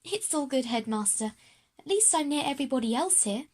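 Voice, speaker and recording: Woman as schoolchild, children's audio drama, high voice, polite and earnest. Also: animated, fairly quick, a little mellow. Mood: eager, friendly.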